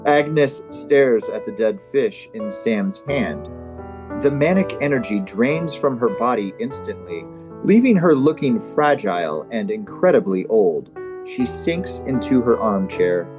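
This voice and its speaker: A man's voice: mid-range voice, animated, measured pace, over music. A man hosting a comedy table read, narrating stage directions and chatting casually. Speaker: comedy show host and script narrator.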